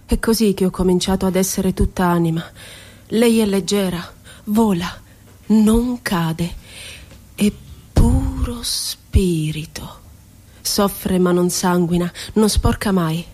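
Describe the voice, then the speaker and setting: A woman poet, wounded and ironic, looking back on confinement. She speaks in a theatrical radio-play monologue at a middle pitch. Her delivery is deliberate and poetic, lingering on words and posing rhetorical questions. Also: dark tone, husky. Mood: wistful, solemn.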